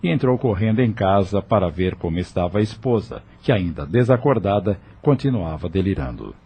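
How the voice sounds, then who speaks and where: A man's voice: low-pitched, expressive, a little fast, dark tone, slightly rough. A man narrating a scripted audio novel in a dramatic storytelling manner.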